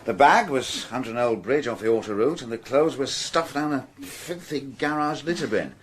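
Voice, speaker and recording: An actor with a British accent playing a dogged, exasperated French police detective in a radio drama, his voice slightly husky. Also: mid-range voice, lively intonation, moderate pace, slight background noise, natural voice. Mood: weary, explaining. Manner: hesitant musing breaking into indignant outbursts.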